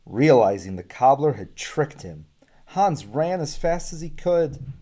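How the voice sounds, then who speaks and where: A young man reading a children's storybook aloud in an expressive, deliberate way. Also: medium pitch. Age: young adult. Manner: animated, deliberate, asks listeners questions. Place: small room with bookshelves.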